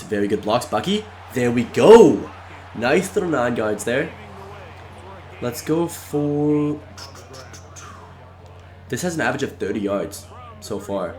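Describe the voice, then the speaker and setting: A congested man narrating his own video game match live, excitable and shouting at close plays. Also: on the low side. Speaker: gaming YouTuber. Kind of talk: live gameplay commentary.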